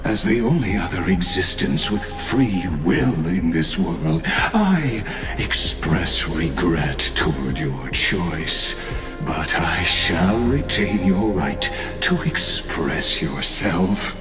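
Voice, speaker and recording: Very slow, deep, grandiose machine mastermind. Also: male voice, sing-song, full character voice. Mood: condescending calm. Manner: grandiose, theatrical, deliberate. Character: condescending, philosophical male machine mastermind, calmly godlike villain. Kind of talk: video game villain monologue. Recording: roomy echo under game music.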